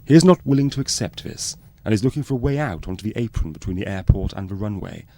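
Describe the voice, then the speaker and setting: A man's voice: lowish voice, lively intonation, quick pace, creaky, dark tone, no room sound. A man delivering scripted docu-soap narration.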